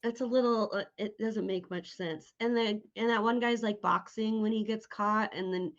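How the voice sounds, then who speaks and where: A woman interviewer chats in an informal, conversational way at a middle pitch. She states her opinions plainly and casually. The recording is a little dull and lo-fi. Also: fairly even intonation, normal speed. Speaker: podcast interviewer. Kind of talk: casual chat about horror tropes.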